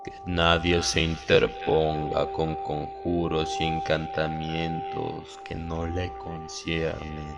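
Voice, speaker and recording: A man's voice: a bass voice, completely flat delivery, slow, creaky, hardly any pauses, over music, voice pushed down, full character voice. A man voicing an ominous ancient book of the occult, reciting solemnly like an incantation in a horror audiobook.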